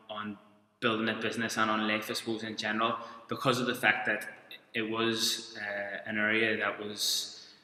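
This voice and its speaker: A man in his thirties from Belfast with a Belfast accent, giving a coaching webinar in an informal, halting way with frequent restarts. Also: lowish voice, bright, forceful tone. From Belfast, Northern Ireland. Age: 30s. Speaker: strength and conditioning coach. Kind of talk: webinar presentation. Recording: echoey room with long reverb.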